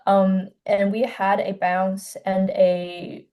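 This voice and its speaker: Soft-spoken young woman from China. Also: medium pitch, level tone. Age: 20s. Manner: soft-spoken, hesitant, many pauses and restarts. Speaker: filmmaker guest. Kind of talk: casual interview.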